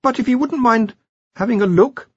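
A man's voice: very high voice, normal speed, breathy edge, no room sound, highs cut off, pitched above the performer's own voice. A man voicing an earnest, apologetic young male wizard in an audiobook, explaining things with hesitant deference. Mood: nervous, coaxing. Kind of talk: audiobook character voice.

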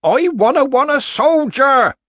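Storybook small boy: very high-pitched, loud, whining, repetitive, in a tearful rage. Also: expressive, unhurried, no room sound, studio quality, full character voice. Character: screaming, sobbing small boy demanding a toy.

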